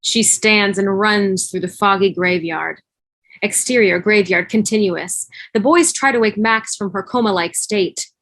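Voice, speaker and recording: A woman's voice: medium pitch, a little slow, a bit bright, no echo. A woman reading stage directions as scripted narration in an online table read over a video call.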